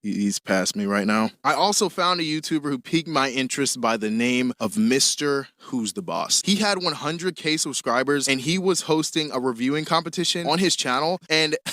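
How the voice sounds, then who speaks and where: A man's voice: medium pitch, animated, normal speed, bright, forceful tone, no echo, clean studio sound. A young man narrating his own life story in a casual, joking, self-mocking way, as a scripted storytime. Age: young adult.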